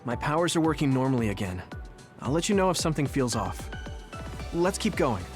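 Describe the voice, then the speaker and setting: Expressive, earnest young male squad leader played by an actor. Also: medium pitch, fairly quick, music in the background, natural voice. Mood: reassuring. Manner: sincere, reassuring. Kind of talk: anime-style video game voice acting.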